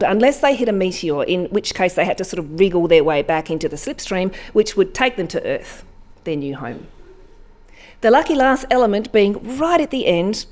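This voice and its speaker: A woman reading a whimsical short story aloud at a live event, expressive and deliberate, with dramatic pauses. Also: fairly low pitch. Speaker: story reader.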